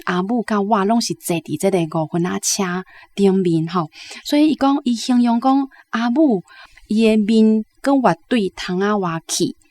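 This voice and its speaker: 一位台灣口音的女性文學評論者，以聊天口吻分享讀書感想。. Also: female voice, mid-range voice, a little mellow. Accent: Taiwanese. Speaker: literary commentator. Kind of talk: conversational book commentary.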